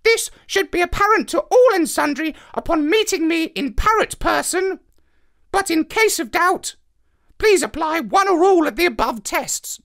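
Imperious storybook parrot, very high screechy voice, creaky, self-important orator. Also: male voice, animated, moderate pace, fairly bright tone, full character voice. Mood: boastful lecturing. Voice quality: squawky, screeching. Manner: haughty, orating, self-important. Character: pompous megalomaniac male parrot, screechy and imperious. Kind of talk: children's story character voice.

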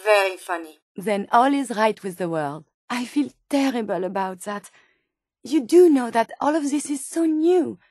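Game role: earnest female robot scientist, French accent, high register, precise formal diction, very dry sound. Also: lively intonation, normal speed, darkish tone, dry recording. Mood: indignant, hurt. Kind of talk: video game companion dialogue.

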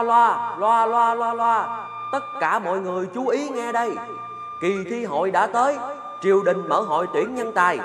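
A high-pitched male town crier, played by an actor declaims a royal proclamation in formal style. The voice rings with long reverb over prominent music, as in a TV folk tale. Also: close to an everyday voice. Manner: declamatory, calling out.

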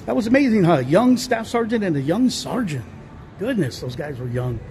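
High-voiced man rambling on a mall walk. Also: very animated, normal speed, a little mellow, slight background noise. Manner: rambling, many fillers and restarts. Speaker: vlogger touring a mall. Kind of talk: casual walking tour narration. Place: large indoor shopping mall. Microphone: handheld phone camera, speaker off screen.

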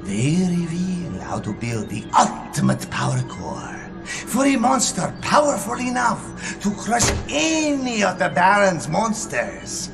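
Cryptic seer Delisambra. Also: male voice, high-pitched, wildly swooping pitch, slow pace, gravelly, music in the background, heavily acted. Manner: cryptic, speaks of itself in third person. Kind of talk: video game cutscene acting.

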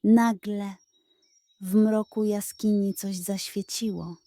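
Slow, soft-toned woman telling bedtime stories. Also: mid-range voice, fairly even intonation, no room sound. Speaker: storyteller. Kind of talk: scripted bedtime story narration for children.

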